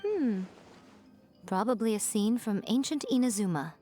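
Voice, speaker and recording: Woman voicing a sly elegant fox shrine maiden, playful up-and-down voice, calm and teasing. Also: fairly high pitch, normal speed, music in the background, close to an everyday voice. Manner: teasing, composed, amused. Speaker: game character.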